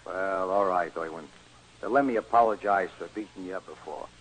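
An actor playing a pushy, streetwise racetrack gambler, blunt and slangy, in a noisy 1940s radio comedy broadcast. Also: male voice, low-pitched, brisk. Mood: sheepish.

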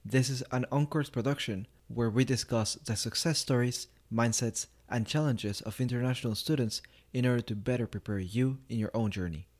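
A man hosting a podcast and interviewing a guest in a relaxed way, with many fillers and "right?"s. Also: mid-range voice, normal speed, hardly any pauses, no echo. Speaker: podcast host.

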